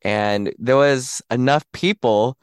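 A man in his late twenties hosting a podcast in casual chat, animated and giggly, his voice slightly raspy today. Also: medium pitch, slow, dry recording, clean studio sound. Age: 20s. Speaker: podcast host.

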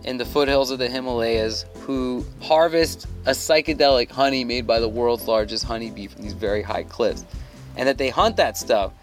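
A man from Thailand telling a travel story in a loose, animated way as a podcast guest. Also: medium pitch, normal speed, soft background music. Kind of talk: casual storytelling.

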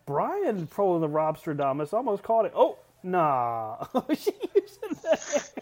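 A man's voice: high-pitched, huge pitch swings, slow, a little mellow. A man giving jokey, casual live commentary as he watches a wrestling match. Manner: jokey, frequently chuckling.

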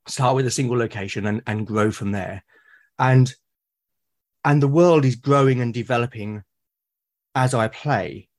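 A man's voice: mid-range voice, a little slow, pauses often. Male guest, mid-range voice, emphatic and enthusiastic. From Britain. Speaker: podcast guest. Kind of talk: conversational interview.

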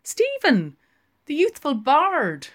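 A woman's voice: sits high, wildly swooping pitch, takes it slowly, pitched above the performer's own voice, exaggerated voice. A woman reader voicing a florid, wheedling male barfly in a literary audiobook, theatrical and grandiloquent. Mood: showy, boastful.

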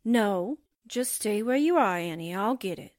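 A woman's voice: medium pitch, lively intonation, a little slow, noisy background, lightly acted. A woman playing a perky, sassy, scatterbrained secretary in a 1940s-style radio drama, playful and sarcastic. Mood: sassy, teasing.

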